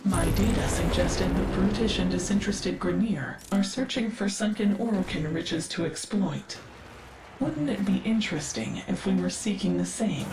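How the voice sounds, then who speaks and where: An actress playing a curious, analytical ship AI in a video game, speaking with measured, precise, formal diction. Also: female voice, mid-range voice, heavy background noise, close to an everyday voice. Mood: intrigued. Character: curious, analytical female ship AI, cultured and wistful. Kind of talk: scripted video game dialogue. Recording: echoing voice with music behind. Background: game music.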